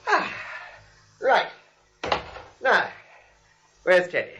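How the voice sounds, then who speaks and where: A man's voice: sits high, huge pitch swings, very slow, over music, full character voice. An actor playing a flustered, insistent younger man, stammering and urgent in 1940s radio style. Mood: brisk.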